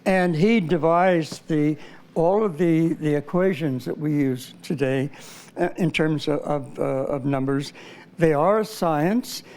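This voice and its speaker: A man talks as a guest, his voice slightly high with a warm, mellow tone. He explains his ideas patiently but hesitantly, with frequent fillers and restarts. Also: slow pace. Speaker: numerology guest. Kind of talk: explanatory interview talk.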